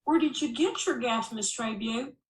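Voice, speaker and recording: Woman voicing a suspicious, measured male policeman, echoey. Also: fairly high pitch, pitched above the performer's own voice, natural voice. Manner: measured, probing, authoritative. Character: slow, courteous, suspicious male small-town policeman. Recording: roomy, echoing voice recording.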